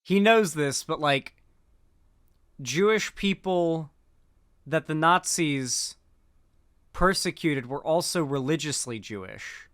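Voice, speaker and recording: A man's voice: on the high side, lively intonation, takes it slowly, long pauses, a bit bright, clear, resonant tone, no echo, clean studio sound. A man giving casual commentary on clips, rambling with frequent fillers and restarts. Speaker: political commentator.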